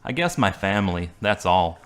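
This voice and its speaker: A man playing a guarded young man, low voice. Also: expressive, normal speed, fairly bright tone, clear, resonant tone.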